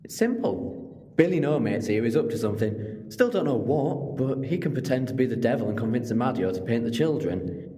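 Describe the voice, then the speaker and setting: An actor playing a clever, cheeky alien time-traveller hero in a sci-fi audio drama, with a wry, commanding and self-assured manner. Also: male voice, medium pitch, very animated, brisk, dark tone, lightly acted. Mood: cheeky, explaining. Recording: long cavernous hall reverb.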